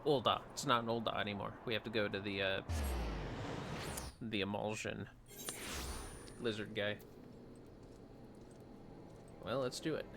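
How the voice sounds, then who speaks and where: A man's voice: fairly low pitch, steady tone, measured pace, long pauses, noisy background. A man livestreaming a video game with casual chat, muttering to himself as he plays. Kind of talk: casual gameplay chat mixed with reading dialogue aloud.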